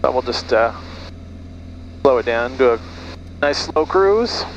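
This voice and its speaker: A man's voice: medium pitch, expressive, slow, poor audio. Middle aged guy flying a small plane, casual headset talk, bit of engine noise behind him. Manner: trails off mid-sentence. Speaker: private pilot. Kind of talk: casual cockpit talk and radio calls. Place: small propeller plane cockpit in flight. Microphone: aviation headset boom mic.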